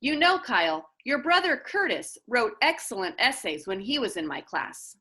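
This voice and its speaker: A woman voicing a stern, exacting female homeroom teacher who speaks formally and crisply in a storybook. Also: a little high, big pitch swings, moderate pace, pushed and bright. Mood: condescending.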